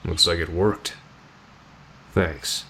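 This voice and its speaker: A man playing an aloof, stoic teenage delinquent boy, curt and deadpan, in an ASMR roleplay addressed to the listener. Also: low voice, very slow, gravelly, a little hiss or hum, heavily acted.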